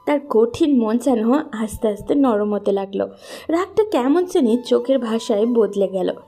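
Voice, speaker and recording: A woman's voice: fairly high pitch, lively intonation, a little slow, mellow, soft background music. A woman narrating a scripted romantic story as its storyteller.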